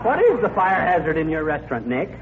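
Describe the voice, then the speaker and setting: Folksy blowhard husband, highish animated voice, old radio comedy, tinny recording. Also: male voice, fast talker. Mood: bantering. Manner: pompous, folksy, self-important. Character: boastful, blustery small-town husband puffed up with importance. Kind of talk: 1940s radio comedy acting. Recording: narrow, hissy old radio broadcast.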